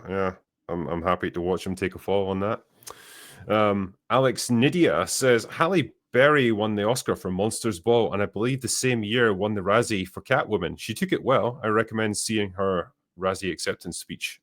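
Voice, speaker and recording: Low-voiced man hosting a casual podcast. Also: animated, normal speed. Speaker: podcast host. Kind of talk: casual chat, reading viewer messages aloud.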